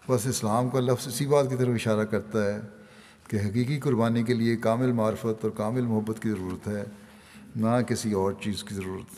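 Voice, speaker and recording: An older man with a Pakistani Urdu accent reads a sermon in a low, flat, steady voice. His words are measured and solemn. They ring out with the long reverb of a large mosque hall, taken from podium microphones. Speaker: imam delivering Friday sermon. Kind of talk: sermon read from notes.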